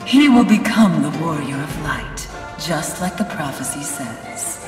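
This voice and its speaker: A woman's voice: sits low, animated. An actress playing a sorrowful, resolute exiled witch in a video game, grave and weary, with solemn, formal delivery. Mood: grave, resigned. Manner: solemn, slow, measured delivery with formal wording. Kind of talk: video game cutscene voice acting. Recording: music behind the voice.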